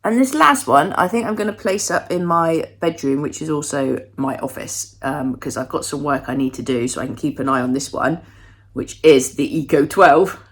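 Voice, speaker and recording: A woman's voice: low voice, moderate pace. A middle-aged woman with a stuffy, congested voice from a cold, chatting cheerfully to viewers in a home vlog. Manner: bubbly, self-deprecating, talks to viewers. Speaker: candle-making vlogger. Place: at home, moving between rooms.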